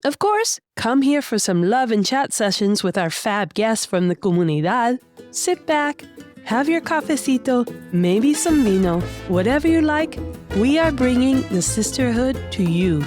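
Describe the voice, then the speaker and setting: A woman's voice: fairly high pitch, lively intonation, a little slow, a little mellow, faint music behind. A woman hosting a podcast, upbeat and mixing in Spanish words as she introduces her guest and asks questions. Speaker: podcast host. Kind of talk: scripted intro then interview.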